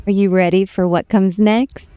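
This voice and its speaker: A woman's voice: mid-range voice, steady tone, normal speed, clear, resonant tone, no echo, muffled recording, faint music behind, natural voice. A woman voicing a menacing, eager princess who taunts and relishes violence in a dramatic game script reading.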